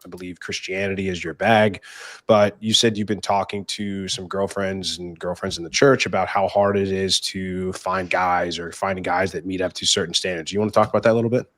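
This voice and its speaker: A man hosts a panel discussion over a video call, his voice sitting on the low side. He keeps things easygoing, with loose fillers between questions. The sound is dry and close. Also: level tone, brisk, a bit bright, no echo. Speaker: podcast host. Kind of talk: casual panel moderation.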